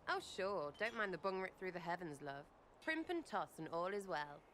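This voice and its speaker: An actress playing a brash, irreverent young elf archer in a video game, with a blunt, slangy manner. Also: female voice, fairly low pitch, lively intonation, normal speed, a bit bright, slight background noise. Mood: cheeky, sardonic. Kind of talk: video game character acting.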